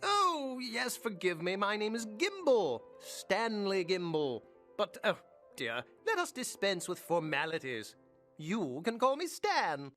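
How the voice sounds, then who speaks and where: A man's voice: sits high, sing-song, slow, bright, forceful tone, faint music behind, heavily acted. An actor playing a fussy, politely obsessive and creepy male shop owner in a video game, with genteel, fluttery, hesitant speech. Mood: fussily welcoming. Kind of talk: video game character acting.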